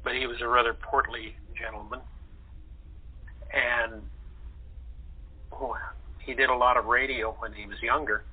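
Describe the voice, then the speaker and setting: A man chatting as an interview guest, halting as he searches for words. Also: medium pitch, flat delivery, slightly rough. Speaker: radio play actor, guest. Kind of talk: casual interview chat. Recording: tinny narrow phone line.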